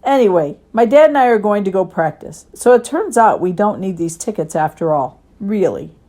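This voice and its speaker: A woman's voice: mid-range voice, lively intonation, moderate pace, dark tone, close to an everyday voice. A woman voicing an eager, kind-hearted young boy in a storybook, earnest and childlike.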